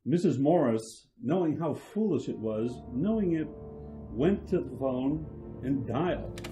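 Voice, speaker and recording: A man narrating a scripted suspense radio drama in a deliberate, dramatic way. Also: medium pitch, expressive, poor audio. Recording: music behind the narration.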